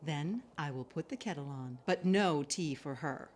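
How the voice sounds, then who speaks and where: A woman's voice: sits low, unhurried, heavy background noise. An actress playing a proud, vengeful and commanding woman in an audio drama, with solemn, imperious wording. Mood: wry, fond. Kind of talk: dramatic audio adventure acting.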